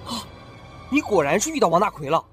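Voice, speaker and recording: Worried young man, an actor voicing him, quick questions, lively, audio drama. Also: high voice, soft background music.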